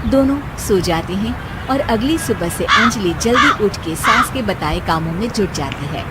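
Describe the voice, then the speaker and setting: A woman's voice: mid-range voice, expressive, measured pace, very noisy. A woman narrator reading a scripted moral story for a cartoon.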